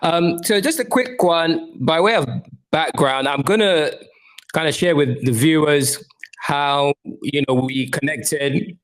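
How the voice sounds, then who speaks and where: A man's voice: fairly high pitch, slow, poor audio. A man hosting a music podcast, opening with an upbeat, hype-style intro and now and then correcting himself. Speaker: podcast host.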